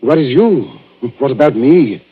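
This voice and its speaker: Worried, polite husband, radio mystery. Rough-edged mid-range voice, hesitant and haunted; dull old broadcast sound. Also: male voice, mid-range voice, sing-song, a little slow. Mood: agitated. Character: anxious, courteous gentleman, a worried and haunted husband. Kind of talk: 1940s radio mystery acting. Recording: muffled old 1940s radio recording.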